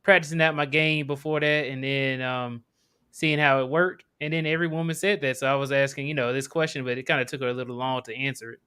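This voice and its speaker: Male caller, bright crisp voice, easygoing and halting. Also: medium pitch. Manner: relaxed, hesitant, filler-heavy answers. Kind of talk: casual chat.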